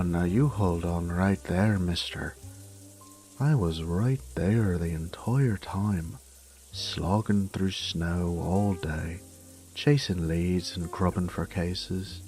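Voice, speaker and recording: Casting male actor: reformed thief, romantic audio roleplay, slow gravelly delivery, teasing and tender, slipping into whispers. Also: sits low, darkish tone. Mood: mock indignant. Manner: flirtatious, murmuring, drops into whispers.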